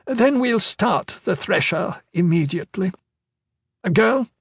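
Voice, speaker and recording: A man voicing an imperious, sharp-tongued old woman magister who scolds everyone around her. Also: expressive, slow pace, creaky, husky, dry recording, clean studio sound, full character voice. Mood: commanding. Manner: scolding, shrill.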